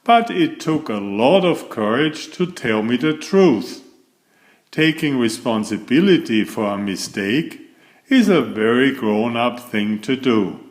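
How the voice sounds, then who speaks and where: Guy doing a nice forgiving neighbour man from a kids book, gentle, swoopy and slow. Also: medium pitch, animated. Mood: reassuring. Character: kindly, forgiving grown-up male neighbour.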